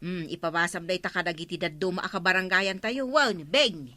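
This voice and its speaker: A woman playing a brisk, sociable and bossy village councilwoman in a comedy radio drama. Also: fairly low pitch, sharp tone, no room sound.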